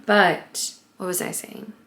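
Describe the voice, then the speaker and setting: A young woman vlogging casually at home as a stay-at-home mom, chatty and often hushed, congested and a bit raspy from allergies. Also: medium pitch, animated, very slow, in a whisper. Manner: chatty, lots of "like" and "um", often hushed to not wake the baby. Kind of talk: casual vlog talk to camera.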